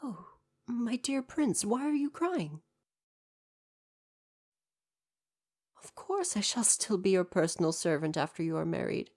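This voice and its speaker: A woman's voice: medium pitch, expressive, normal speed, darkish tone, natural voice. A woman playing a devoted royal butler in an ASMR roleplay, speaking in a formal, deferential and precise way with parental care. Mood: tender, reassuring.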